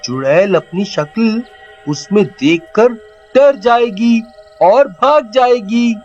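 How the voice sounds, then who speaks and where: A man's voice: high-pitched, lively intonation, slow, lo-fi sound, soft background music, heavily acted. A man voicing a cheeky, clever young village boy in a cartoon story, talking in an eager, pleading, childlike way.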